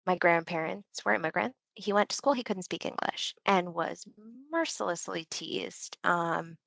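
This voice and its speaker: Gravelly low-voiced woman, chatty. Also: measured pace, a bit bright, dry recording. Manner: candid and chatty, with filler words. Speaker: podcast guest, Marine veteran linguist. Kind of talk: casual interview chat.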